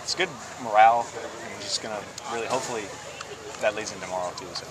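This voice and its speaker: A young man, a college baseball pitcher, answering postgame questions outdoors at night in an easygoing way, chuckling now and then. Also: quick pace, rough recording. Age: young adult. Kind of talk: postgame interview. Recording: outdoor crowd noise behind.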